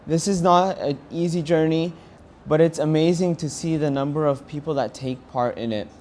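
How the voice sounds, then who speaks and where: A young man with an American accent giving a personal testimony in an earnest, halting way with pauses. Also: on the high side, a little mellow, a little hiss or hum. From California, United States. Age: young adult. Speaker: university student interviewed as a pilgrim.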